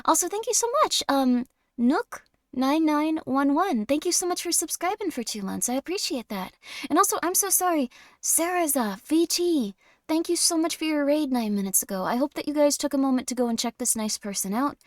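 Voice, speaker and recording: A tired woman streaming a game, chatting casually in a slightly raspy voice, rambling with many fillers. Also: high voice, lively intonation, brisk, no echo, studio quality. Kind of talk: casual chat while playing a game.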